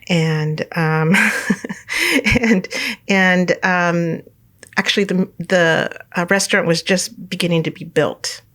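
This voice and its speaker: A middle-aged woman from California, a guest telling her life story in a relaxed interview, rambling with many fillers and restarts and laughing often. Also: on the low side, slow pace. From United States, California.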